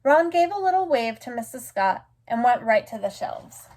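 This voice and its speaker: A woman with a fairly high voice reads a picture book aloud for children. Her storytelling is expressive and deliberate, shaped for young listeners.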